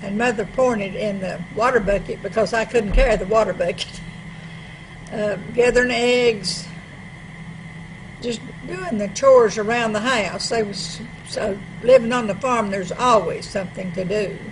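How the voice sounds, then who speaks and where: A woman in her eighties with an East Tennessee accent, plainly reminiscing about her rural childhood in a small library room. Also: mid-range voice. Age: 80s. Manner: unhurried, plain-spoken. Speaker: storyteller. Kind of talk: casual reminiscing. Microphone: table microphone. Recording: noisy room recording.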